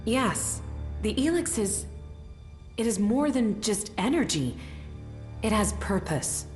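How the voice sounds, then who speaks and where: An actress playing an earnest, thoughtful mage uneasy about her powers in a video game, speaking in a measured way. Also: medium pitch, animated, a little mellow. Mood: awed. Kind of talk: video game cutscene acting. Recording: echoey reverberant space, music behind.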